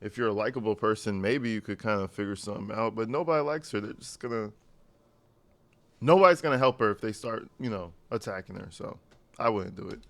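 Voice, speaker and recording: A man's voice: lowish voice, brisk, creaky. A man hosting a reaction channel, commenting bluntly and mockingly on a jail call.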